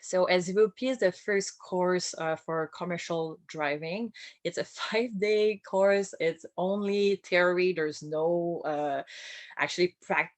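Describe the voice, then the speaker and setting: A woman with a French accent, a boat captain giving an informal career talk. She speaks in a friendly way, with light laughs and many filler pauses. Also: fairly low pitch, no echo. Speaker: boat captain and whale-watching skipper.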